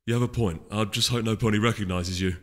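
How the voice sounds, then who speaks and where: Casting: man as irritable storybook Pegasus warrior, curt commands, forceful delivery, hall reverb. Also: lowish voice, steady tone, fast, natural voice. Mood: grudging, terse. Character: gruff, irritable big male Pegasus soldier. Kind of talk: audiobook character voice. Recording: echoey hall reverb, music behind.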